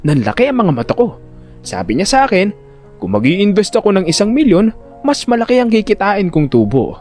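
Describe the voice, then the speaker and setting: A man narrating a first-person audio drama in an expressive, conversational storytelling manner. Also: high-pitched, fairly quick, soft background music.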